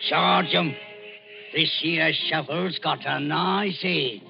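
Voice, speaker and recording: A gravelly, bullying Cockney grave robber, pitched a little high for a man. He is rough, blustering and ruthless, heard in a muffled old radio thriller with music. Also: fairly even intonation, takes it slowly. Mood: urgent, defiant. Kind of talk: 1940s radio acting.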